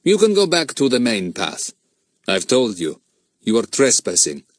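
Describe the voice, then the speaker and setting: A man's voice: mid-range voice, expressive, measured pace, a bit bright, dry recording. A man voicing a grim, menacing countryman with a foreign manner, stern and threatening.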